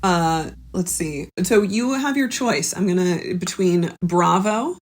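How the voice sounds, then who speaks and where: A woman's voice: fairly low pitch, takes it slowly. A woman chatting casually as a guest comedian and judge of a game on a comedy podcast. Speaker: guest comedian judging pitches. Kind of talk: casual game-judging chat.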